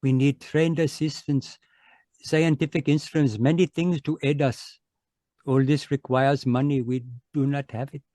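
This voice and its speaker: A man playing a solemn, crafty mystic in a radio mystery, speaking in a formal, measured way. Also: mid-range voice, steady tone, warm tone, no room sound, lightly acted. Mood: solemn, regretful. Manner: formal, measured, avoids contractions. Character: solemn, crafty yogi mystic seeking money. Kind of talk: old-time radio mystery acting. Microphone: close home microphone on a video call.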